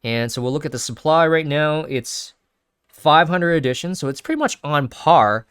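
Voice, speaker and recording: A man's voice: fairly high pitch, expressive, moderate pace, fairly bright tone, clean tone, dry recording, clean studio sound. A man hosting a casual video, thinking aloud with many fillers and restarts while sniffly from sneezing. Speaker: crypto video host. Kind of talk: casual screen-share commentary.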